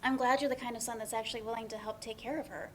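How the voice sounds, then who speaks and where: A woman's voice: fairly high pitch, steady tone, racing, a bit bright, a little hiss or hum, close to an everyday voice. A woman playing an earnest young professional in a dramatic reading of a stage play, speaking conversationally with small hesitations. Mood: sympathetic. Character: Kat, earnest, apologetic young professional woman, teasing friend.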